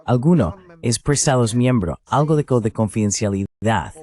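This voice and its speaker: A man giving a Spanish voice-over of interview answers, explaining political analysis in a deliberate way with short emphatic phrases. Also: medium pitch, expressive, darkish tone.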